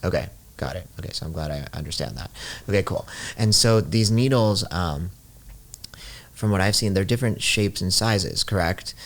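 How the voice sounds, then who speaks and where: A man's voice: low-pitched, normal speed. A man hosting a casual interview, conversational and rephrasing things to check he understands. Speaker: interviewer, host.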